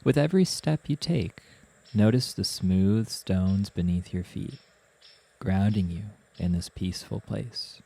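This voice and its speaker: A man narrating a guided meditation as the guide, in a soothing, gentle manner with unhurried instructions. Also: lowish voice, gravelly, husky.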